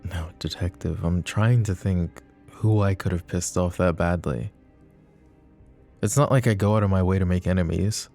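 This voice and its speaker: Man playing a very deep, brooding mafia boss. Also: moderate pace, mellow, soft background music. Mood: wry, caring.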